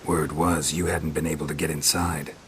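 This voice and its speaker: Super deep gruff witcher, dry and weary, few words, game audio w/ background noise. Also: moderate pace. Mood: terse, dry. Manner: terse, deadpan. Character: gruff, dry, weary male monster-hunting witcher for hire. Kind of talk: fantasy game dialogue.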